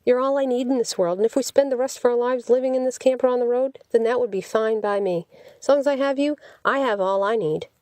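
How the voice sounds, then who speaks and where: A woman's voice: fairly high pitch, fast, warm tone, no echo, voice pushed up, lightly acted. An eager young man as a woman narrator reads him in an audiobook. He is devoted and sincere, urging his case persuasively in a dry, close sound. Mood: pleading, eager.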